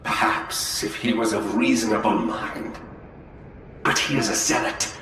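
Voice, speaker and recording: An actor playing a grave, protective alien seer in a game cinematic, solemn and formal. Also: male voice, on the low side, measured pace, sharp tone. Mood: grave, urgent. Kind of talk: dramatic game cinematic acting. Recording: very echoey, faint noise.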